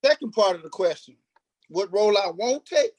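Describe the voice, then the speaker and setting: A man's voice: high-pitched, expressive, moderate pace, dry recording. Male stage actor, informal interview delivery, emphatic and repetitive for stress, dry recording with little room. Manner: emphatic, repeats phrases for stress. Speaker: stage play cast member, actor.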